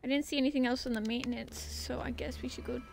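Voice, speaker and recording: A woman's voice: high-pitched, steady tone, quick pace, creaky, background noise. A woman giving casual gameplay commentary on a horror game, playfully thinking aloud as she goes. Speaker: gaming video host playing a horror game.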